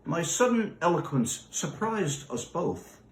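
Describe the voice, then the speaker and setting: Expressive man reading a story, slow-paced. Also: a little high. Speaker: author reading a short story. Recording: roomy echo.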